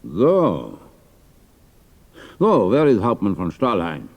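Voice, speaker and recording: An actor playing a curt, imperious German count and commanding officer in an audio drama. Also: male voice, fairly low pitch, huge pitch swings, slow, vocal fry, a little mellow, full character voice.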